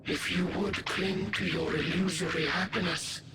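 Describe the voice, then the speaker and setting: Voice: medium pitch, steady tone, moderate pace, heavy background noise, natural voice. An actor playing a grim, condescending ancient alien being in a video game, making solemn, archaic pronouncements. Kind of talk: scripted video game acting. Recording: game audio under loud music.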